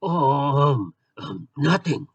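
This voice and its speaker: A man plays a brooding superhero who is sheepish underneath. He speaks slowly in a halting deadpan, with a close, dry sound, as in a comic toy skit. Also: a little high, no echo, highs cut off, exaggerated voice. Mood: awkward, deadpan. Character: Batman, brooding adult male superhero, awkward and sheepish.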